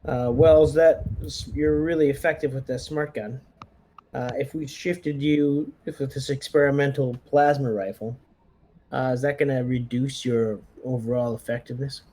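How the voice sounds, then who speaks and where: A man's voice: mid-range voice, steady tone, normal speed, warm tone. A man chatting casually as a player in a tabletop roleplaying game, organising the squad.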